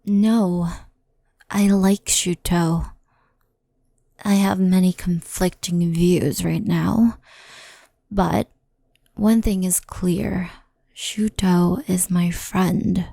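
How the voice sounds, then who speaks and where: A woman voicing a gentle, loyal, slightly dazed resurrected yokai in an ASMR roleplay, soft and halting in delivery. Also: on the low side, steady tone, no room sound, clean studio sound, heavily acted. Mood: loyal, resolute. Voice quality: soft, creaky.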